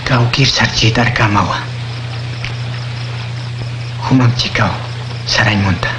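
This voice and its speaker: A man from Bolivia narrating a radio drama in a steady storytelling delivery. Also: on the low side, slow pace, noisy background. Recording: echoey narration over music.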